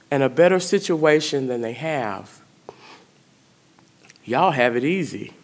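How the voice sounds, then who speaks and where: Slow-speaking woman priest preaching. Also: low-pitched. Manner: conversational, asks the congregation questions. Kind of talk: sermon. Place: church sanctuary. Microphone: stand mic in front of pulpit.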